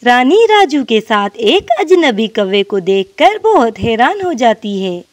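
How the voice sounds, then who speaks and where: Female narrator for children's cartoon tales, high voice, very lively intonation. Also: a little slow. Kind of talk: scripted children's story narration.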